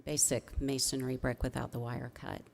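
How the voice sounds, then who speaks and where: A woman speaking as a staff member at a public meeting, explaining in a measured, slightly hesitant way. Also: a bass voice, little pitch movement, gravelly, a little hiss or hum. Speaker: city staff member presenting to commission.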